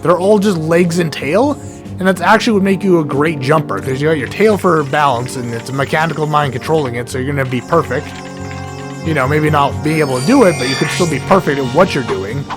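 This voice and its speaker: A hoarse man, sick, giving rambling casual commentary while playing a game, often stuttering and correcting himself. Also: a little high, fairly quick. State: hoarse from illness. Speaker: let's play gamer. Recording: game music underneath.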